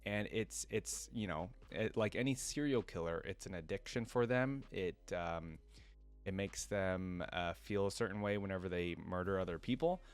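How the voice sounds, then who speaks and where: Male reaction host, lowish pitch, conversational delivery moving between reading aloud and casual commentary. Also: normal speed, clean tone.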